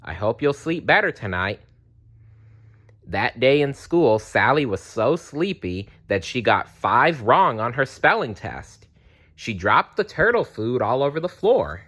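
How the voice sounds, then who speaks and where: A man's voice: medium pitch, sing-song, measured pace, a bit bright, husky. A man reading a children's storybook aloud expressively. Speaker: storybook reader.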